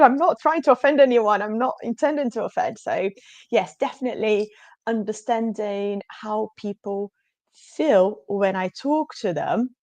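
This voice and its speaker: A woman's voice: medium pitch, a little mellow, dry recording. A woman whose first language is Portuguese, answering interview questions in an earnest, emphatic way over a video call. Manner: earnest, emphatic, often says absolutely. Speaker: guest.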